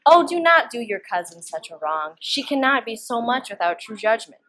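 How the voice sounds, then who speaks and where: A teenage girl playing a deferential gentlewoman attendant who joins in a plot, in a student Shakespeare performance. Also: on the high side, very animated, moderate pace, exaggerated voice, low-quality recording. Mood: earnest, deferential. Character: Ursula, young gentlewoman attendant, deferential co-conspirator.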